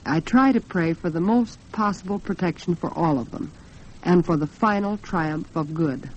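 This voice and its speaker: A woman's voice: lowish voice, moderate pace. Old noisy 40s radio, american lady host talking right to the listeners, kinda dull sound. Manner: conversational, addresses listeners directly. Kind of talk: 1940s radio women's talk program. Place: radio studio. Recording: muffled, noisy 1940s radio broadcast recording.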